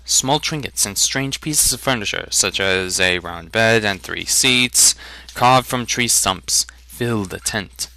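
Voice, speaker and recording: A man's voice: lowish voice, slow pace, gravelly, bright, forceful tone, poor audio. A man reading fantasy fiction aloud as a dramatic, theatrical narration. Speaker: amateur audiobook reader.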